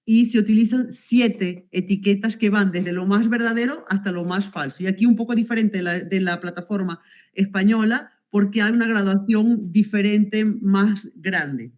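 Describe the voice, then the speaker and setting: A woman's voice: mid-range voice, moderate pace, a little mellow, lo-fi sound. A woman from Venezuela, presenting research results at an academic conference over a video call and hesitating with many fillers and self-corrections.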